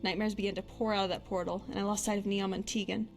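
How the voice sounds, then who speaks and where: A woman's voice: mid-range voice, flat delivery, fast talker, close to an everyday voice. An actress voicing a young woman adventurer and hunter, a guilt-haunted but bold companion in a fantasy game, speaking in a natural, conversational way. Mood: haunted, regretful. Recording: music under the voice.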